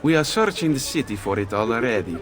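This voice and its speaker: An actor playing a shrewd, cordial Italian thieves' guild master in a video game, measured and sly. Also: male voice, medium pitch, lively intonation, soft background music, close to an everyday voice. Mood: businesslike. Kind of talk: video game cutscene acting.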